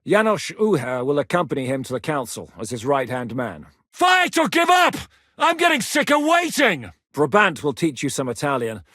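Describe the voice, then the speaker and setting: A gruff rebel war commander. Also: male voice, a little high, wildly swooping pitch, normal speed, sharp tone, no echo, studio quality, full character voice. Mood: shouting orders. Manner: commanding, blunt, bellows battle orders. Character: gruff battle-hardened male rebel commander. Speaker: military commander in a game. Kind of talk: game voice acting.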